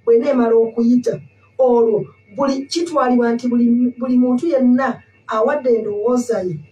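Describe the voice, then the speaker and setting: A woman's voice: on the high side, fairly even intonation, fairly quick, warm tone, recorded from a distance, lo-fi sound. A Ugandan woman in her thirties explaining points at length in a studio interview, mixing in English terms. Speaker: guest analyst. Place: indoor studio. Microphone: studio mic on stand. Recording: echoey room, voice off-mic.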